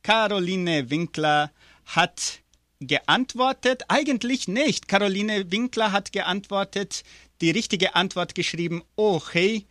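A man's voice: sits high, slow pace, fairly bright tone, no echo, studio quality. A man hosting a live interview and prize draw, chatty and upbeat with frequent hesitations. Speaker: radio host.

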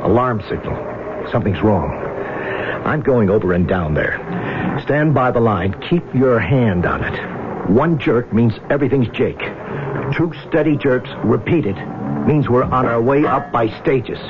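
An actor playing a gruff, loyal and determined diver in a radio drama, speaking in clipped, urgent commands. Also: male voice, medium pitch, animated, a little slow, close to an everyday voice. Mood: urgent, commanding. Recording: muffled old radio recording, noisy background.

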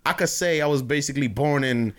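A man's voice: on the high side, fast talker. A man from the Dominican Republic with a Brooklyn accent, co-hosting a podcast in loose street slang with stammering restarts. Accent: Brooklyn, New York. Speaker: podcast co-host. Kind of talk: casual chat.

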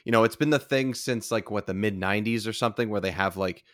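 A man's voice: fairly low pitch, fast, fairly bright tone, no echo, studio quality. A man chatting casually on a podcast in a rambling, emphatic way, often repeating himself.

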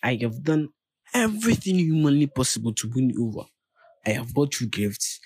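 A man's voice: medium pitch, big pitch swings, moderate pace, darkish tone, breathy edge, dry recording. A man acting a bitter, persistent young suitor in an audio play, with a Ghanaian accent. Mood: bitter pleading. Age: young adult. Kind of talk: dramatic dialogue in an audio play.

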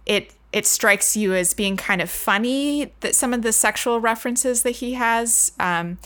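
A woman's voice: fairly high pitch, unhurried, a bit bright. A woman co-host explaining points conversationally in a book discussion. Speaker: podcast co-host.

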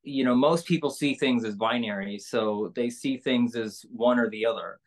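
Woman with a low voice chatting in an interview, candid, lots of ums and restarts. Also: normal speed. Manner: relaxed, candid, many fillers and restarts. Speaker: singer-songwriter guest. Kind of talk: casual interview conversation.

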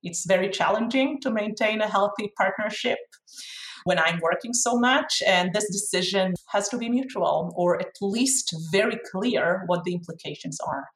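A woman's voice: mid-range voice, moderate pace. Israeli woman, frank, in an echoey room. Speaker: chief executive being interviewed. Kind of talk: interview answers. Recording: roomy, echoey sound.

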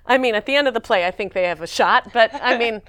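Smooth-voiced woman in her forties. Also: on the high side, fast, no echo. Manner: rambling, animated, laughs often. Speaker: actress guest. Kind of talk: casual interview chat.

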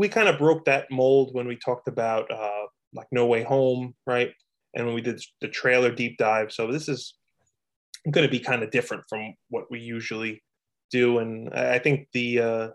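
A man co-hosting a podcast in a loose, rambling casual chat, often breaking into laughter. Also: medium pitch. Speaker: podcast co-host.

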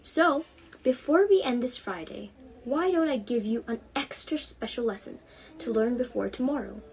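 Teen girl voicing a knowledgeable aunt, patient, fond, explanatory, higher lively voice, storybook. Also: normal speed, rough recording. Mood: fond, instructive. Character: kindly, knowledgeable aunt and teacher.

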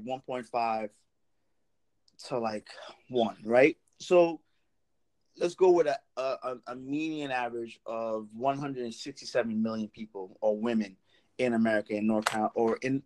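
A man in casual podcast chat, joking and animated. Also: medium pitch, low-quality recording. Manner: animated joking, laughs loudly.

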